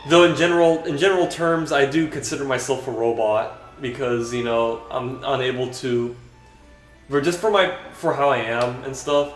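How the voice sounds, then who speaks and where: A young man with a dry, flat-sounding voice talks in halting, rambling bits, full of restarts and fillers, while he plays a video game. His voice sits at an ordinary middle pitch. It rings in an echoey room, with game music clearly playing behind him. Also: distant mic. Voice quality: dry monotone voice. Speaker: vlogger.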